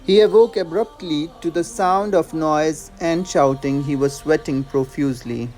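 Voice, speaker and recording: Higher-pitched man narrating a dramatic story. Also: unhurried, a little mellow, faint music behind.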